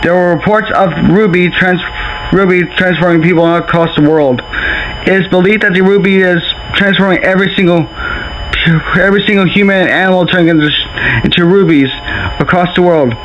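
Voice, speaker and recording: A man's voice: sits high, fairly even intonation, brisk, a bit bright. A man playing a solemn official announcer, reading a scripted doomsday emergency broadcast. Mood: grave. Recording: muffled lo-fi audio with faint noise.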